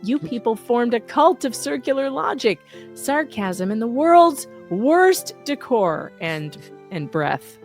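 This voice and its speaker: A woman's voice: on the high side, sing-song, slow. Sarcastic woman reading over music. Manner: mocking, theatrical sarcasm; often laughs while reading. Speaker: host reading an open letter. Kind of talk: sarcastic scripted commentary read aloud.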